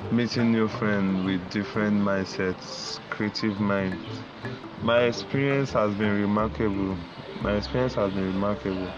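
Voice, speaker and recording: A young man in his twenties from Nigeria, a student speaking earnestly and in a measured way. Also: fairly low pitch, fairly even intonation. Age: 20s. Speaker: student interviewee. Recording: dull lo-fi audio with clear music.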